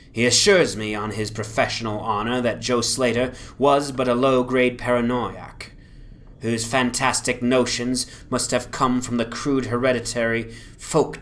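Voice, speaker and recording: A man giving a dramatic, grave and formal narration of a gothic horror story in measured phrases. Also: mid-range voice, a bit bright.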